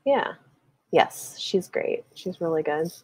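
Dry-sounding, lower-pitched chatty woman. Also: lively intonation, slow, darkish tone, breathy edge, no room sound. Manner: hedging, chatty, frequent "like". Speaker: book channel host. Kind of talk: casual book discussion on a live video call. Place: small indoor room with bookshelves. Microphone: earbuds on a video call.

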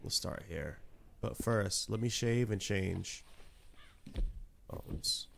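A man's voice: lowish voice, takes it slowly, gravelly, slight background noise. A man with a stuffy nose from a cold, giving laid-back, slangy commentary while playing a video game. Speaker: gameplay streamer. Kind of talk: casual let's-play commentary.

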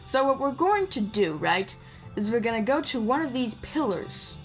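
A woman's voice: on the high side, big pitch swings, moderate pace. A woman giving casual gameplay commentary, rambling playfully and chatting to the characters on screen. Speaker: gamer playing and commenting. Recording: game music behind the voice. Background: video game music.

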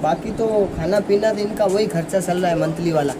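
A man's voice: high-pitched, a little fast, background noise. A man, answering casually in an interview as a horse trainer. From Rajasthan, India. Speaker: horse trainer and stud farm owner, interviewee. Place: outdoors in a farm yard by a brick wall. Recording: outdoor recording with birds and background chatter.

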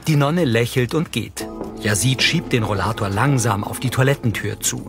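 A male audio description narrator at a middle pitch. He reads scripted scene descriptions in the present tense, with clear soundtrack music behind him. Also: moderate pace.

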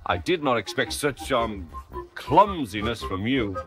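A man voicing a stern, pompous middle-aged railway boss in a measured manner, in a storybook reading. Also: medium pitch, big pitch swings, music in the background.

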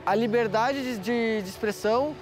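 Young brazilian guy chatting casually in an interview outside, pretty high voice. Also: normal speed. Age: 20s. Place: outdoors on a busy campus square.

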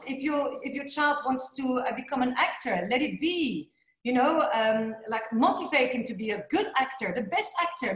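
A Belgian woman in her forties talks in an animated, gesturing way, peppering her speech with "you know". Her voice sits a little high and carries the echo of a roomy office. She is heard through a laptop mic on a video call. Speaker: interview guest. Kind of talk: casual video-call interview. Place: bright office indoors. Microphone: laptop webcam mic on video call.

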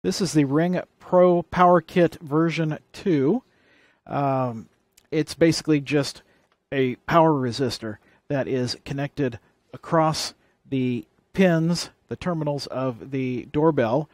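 A man's voice: fairly high pitch, slow, a little mellow, no room sound. A man presenting a hands-on electronics how-to, explaining things plainly and step by step. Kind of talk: hands-on tutorial demonstration.

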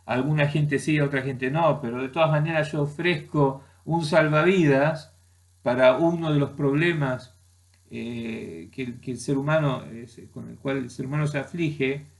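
A middle-aged man with an Argentine Spanish accent, giving an opinion monologue to camera from a small room at home. He talks haltingly and argumentatively, with many restarts and fillers. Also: medium pitch.

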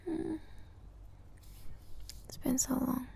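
A woman playing a hesitant, apologetic young student and caring sister in a breathy, whispery ASMR roleplay. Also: mellow. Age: young adult. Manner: halting, hesitant. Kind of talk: soft-spoken ASMR roleplay.

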